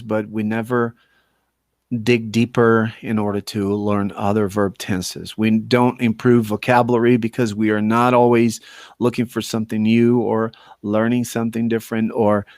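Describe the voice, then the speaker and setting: A man's voice: on the low side, a little mellow, clear, resonant tone, no echo, studio quality. A man with a Brazilian accent, an English teacher talking informally to learners in an emphatic way, repeating phrases for stress. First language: Portuguese. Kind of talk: informal talk to language learners.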